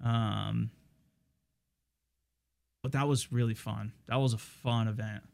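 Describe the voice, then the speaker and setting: A man's voice: fairly low pitch, fairly even intonation, slow pace, creaky, a little mellow. A young man hosting his stream, chatting casually with viewers in a rambling way full of fillers and restarts.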